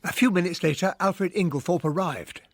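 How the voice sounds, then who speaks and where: An English gentleman narrator recounts a radio drama. His voice is slightly high for a man, recorded dry and free of room sound. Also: fairly quick, no echo. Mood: measured. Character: gentlemanly English male narrator recalling events.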